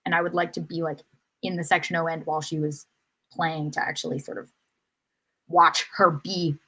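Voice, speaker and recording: A woman on a podcast panel offering casual sports analysis in a measured, reflective way. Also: deep voice, flat delivery, fairly bright tone, slightly rough, poor audio.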